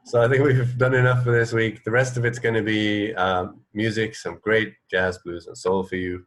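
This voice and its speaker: A man co-hosting a casual radio chat, explaining things in a halting, rambling way with repeated phrases. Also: on the low side, little pitch movement. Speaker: radio co-host.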